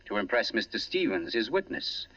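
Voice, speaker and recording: Husky, low-voiced, theatrical gentleman sleuth, old radio. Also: sing-song, moderate pace, heavily acted. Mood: triumphant, accusing. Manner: pompous, mocking, theatrical. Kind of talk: Golden Age radio drama acting. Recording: dull lo-fi vintage radio broadcast.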